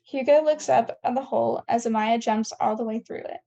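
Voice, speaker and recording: A woman's voice: a little high, brisk, vocal fry, warm tone. A woman reading scene headings and stage directions as narrator of a table read, on a dull lo-fi recording.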